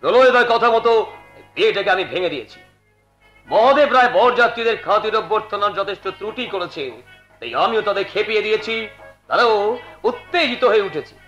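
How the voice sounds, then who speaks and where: A high-pitched, spiteful younger brother. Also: male voice, animated, a little fast, fairly bright tone, lo-fi sound. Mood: smug, accusing. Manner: declamatory, scornful, emphatic. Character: arrogant, spiteful younger brother, a scheming troublemaker. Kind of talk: melodramatic Bengali folk theatre acting. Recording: echoey stage sound, faint music.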